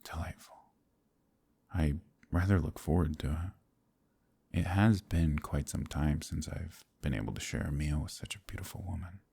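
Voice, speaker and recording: A man playing a gentle, troubled werewolf in a romantic fantasy ASMR roleplay, soft-spoken and hesitant, with formal old-fashioned phrasing and a deep, husky voice. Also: normal speed, heavily acted. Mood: warm, courting.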